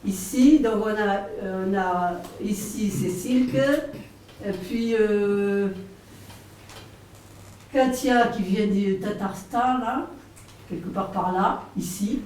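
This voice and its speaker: A woman's voice: mid-range voice, slow, a little mellow, far from the mic. A woman teacher guiding an informal group discussion, prompting people and confirming what they say. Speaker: language class teacher leading a group discussion. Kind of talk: informal guided class discussion. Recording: echoey room, off mic, faint noise.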